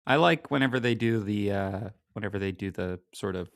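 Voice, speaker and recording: A man's voice: lowish voice, a little fast, creaky. A man chatting casually as the co-host of a podcast. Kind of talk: casual chat.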